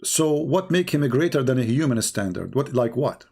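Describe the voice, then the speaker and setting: A man's voice: medium pitch, animated, normal speed, gravelly, a little mellow. A man with an Arabic accent hosting a heated call-in debate, bluntly repeating his questions and pressing the caller. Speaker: host of a live call-in debate.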